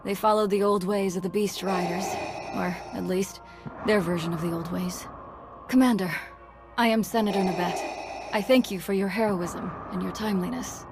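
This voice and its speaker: An actress playing a grave, gracious senator, speaking formally and in a measured, earnest way. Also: female voice, medium pitch, fairly even intonation, natural voice. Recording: game music behind the voice.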